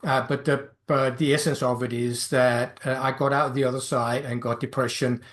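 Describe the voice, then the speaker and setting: A middle-aged man from Denmark with a Danish accent, speaking English as a second language while hosting a solo podcast as a life coach. He talks in a halting, reflective way and fills his pauses with "um" and "uh". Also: mid-range voice, level tone, fairly bright tone. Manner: hesitant, many fillers and restarts.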